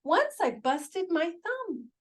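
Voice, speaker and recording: Woman voicing an animated, curious young child. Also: very high voice, slow pace, distant mic, pitched above the performer's own voice, heavily acted. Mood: indignant. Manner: insistent, animated questioning. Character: young child narrator, curious, literal-minded questioner.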